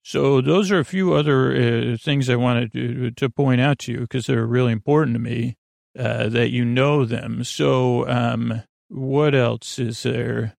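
A man hosting a bedtime podcast in a rambling, halting monologue full of digressions, with a gravelly, creaky voice. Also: medium pitch, steady tone, a little mellow, no echo, studio quality. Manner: meandering, halting, full of digressions and trailing thoughts. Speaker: podcast host.

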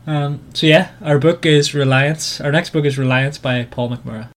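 A man co-hosting a casual book club podcast, speaking in a hesitant, halting way. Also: a little high, steady tone. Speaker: podcast co-host.

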